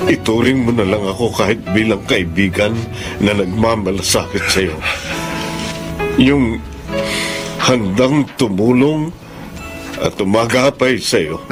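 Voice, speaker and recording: An actor playing a remorseful, middle-aged ex-convict father in a radio drama, speaking with a Filipino accent in an earnest, halting, pleading way. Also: fairly low pitch, over music. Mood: pleading, tender.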